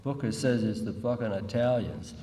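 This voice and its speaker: A man's voice: on the low side, moderate pace, mellow, lightly acted. A man reading aloud as a young, scruffy narcotics cop, cynical and hard-boiled. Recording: echoey hall, distant mic, faint noise.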